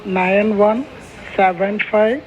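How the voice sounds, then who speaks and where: A ship captain giving calm, formal replies over a marine radio. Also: male voice, high-pitched, slow pace. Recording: muffled radio with hiss.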